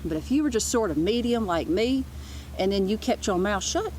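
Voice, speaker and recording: A woman's voice: medium pitch, animated, moderate pace, darkish tone, slight background noise, poor audio. A woman reading a children's book aloud as a storyteller, in an informal manner. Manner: informal, with asides.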